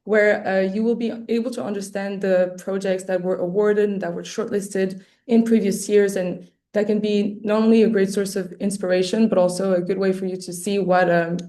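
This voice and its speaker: A woman losing her voice, presenting an arts award webinar over a video call, clearing her throat often and pausing on many fillers. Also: medium pitch, warm tone. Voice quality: slightly hoarse. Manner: hesitant, many fillers and restarts. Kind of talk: explaining an application process. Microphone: computer mic on a video call.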